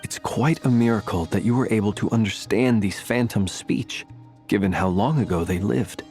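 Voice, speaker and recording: A man playing a solemn, courteous lightkeeper gentleman in a video game, formal and polite. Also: on the low side, normal speed, soft background music, close to an everyday voice.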